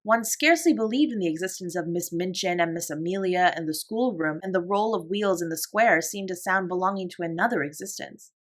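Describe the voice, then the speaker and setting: A woman narrating a classic children's novel as a bedtime story, in a calm, gentle, measured voice. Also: on the low side. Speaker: audiobook reader.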